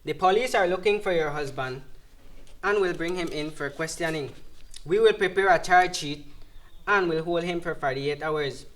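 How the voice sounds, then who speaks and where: A man's voice: on the high side, normal speed, fairly bright tone, natural voice, rough recording. A man playing a formal, procedural police officer in an educational dramatization. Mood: formal, official.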